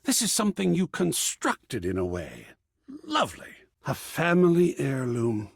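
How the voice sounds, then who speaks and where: Gushing living artwork, video game character, affected and theatrical, unhurried, dry close sound, flamboyant aesthete. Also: male voice, on the high side, a bit bright, breathy edge, no room sound, full character voice. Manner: theatrical, affected, effusive, savouring words. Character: flamboyant, gushing, art-obsessed artwork come to life, male.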